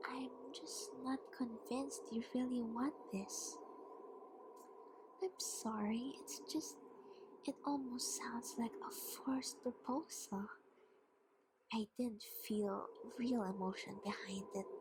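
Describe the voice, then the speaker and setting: A woman's voice: a little high, noisy background. A woman playing a haughty, teasing vampire queen in an ASMR roleplay, often half-whispering in a taunting, mock-polite way. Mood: teasing, mock-sweet. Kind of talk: ASMR roleplay, scripted monologue.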